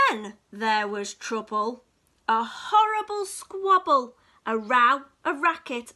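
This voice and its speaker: Woman storyteller, high-pitched, slow-paced, expressive, dramatic picture-book reading. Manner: dramatic, with hushed whispers and gasps. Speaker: storyteller for young children.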